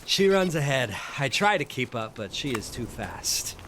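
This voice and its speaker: An actor playing a haunted, curious solitary man in an audio drama, halting and gravelly, as if thinking aloud. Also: mid-range voice, sing-song, fairly bright tone, a little hiss or hum. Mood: uneasy, dread. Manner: hesitant, halting, murmuring to himself. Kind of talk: first-person dramatic narration with in-scene dialogue.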